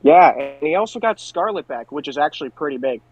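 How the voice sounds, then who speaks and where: A man's voice: a little high, expressive, brisk, a little mellow. A man co-hosting a podcast, chatting casually about pro wrestling on a dull, lo-fi mic. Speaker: podcast co-host.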